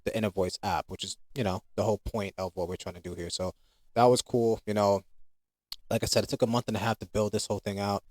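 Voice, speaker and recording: A man with a fairly low voice talks casually through a demo of something he built. His delivery is informal and conversational, unscripted rather than read. The sound is close and dry, with little room around it. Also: a little mellow, no echo. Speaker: app creator giving a product demo.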